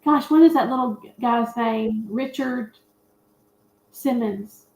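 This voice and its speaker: A woman's voice: fairly high pitch, takes it slowly, darkish tone, a little hiss or hum. A woman chatting casually as a panelist on a film review show. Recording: echoey, roomy voice pickup.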